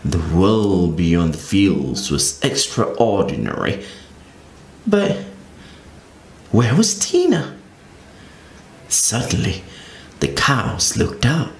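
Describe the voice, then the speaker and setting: Animated slow man reading a picture book. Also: sits low. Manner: expressive, very animated storybook reading. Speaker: children's storyteller. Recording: echoey room with faint ambient noise.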